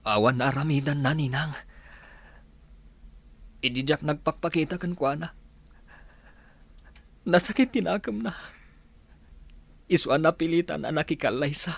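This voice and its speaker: A man's voice: on the high side, a little slow, creaky, pauses often. An actor playing an earnest, heartbroken young man with an Ilocano accent in a Filipino radio drama. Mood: shocked, hurt.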